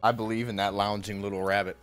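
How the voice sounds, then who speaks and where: A man's voice: lowish voice, flat delivery, fast talker, a bit bright. A man co-hosting a casual game stream, bantering in a joking, boastful, slangy way. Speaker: streamer, co-host. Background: music.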